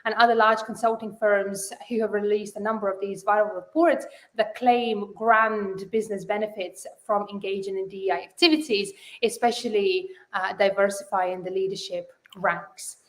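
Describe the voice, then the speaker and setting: A woman's voice: mid-range voice, fairly even intonation, normal speed, roomy sound, poor audio. A woman hosting a live online panel, welcoming viewers and framing the topic with many fillers and restarts. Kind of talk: live webinar moderation.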